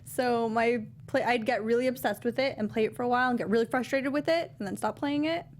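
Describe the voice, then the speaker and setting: A woman's voice: fairly high pitch, fast talker. Young woman chatting, faint background noise. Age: young adult. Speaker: talk show co-host. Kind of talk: casual film review chat. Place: small indoor studio. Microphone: close desk microphone with foam windscreen.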